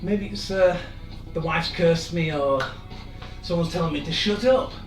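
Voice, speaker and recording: A man, hoarse and losing his voice, leading a live-streamed workout with chatty, encouraging asides. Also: a little high, a little slow, far from the mic. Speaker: fitness instructor. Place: room in an old house. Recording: echoey room, off-mic, music behind.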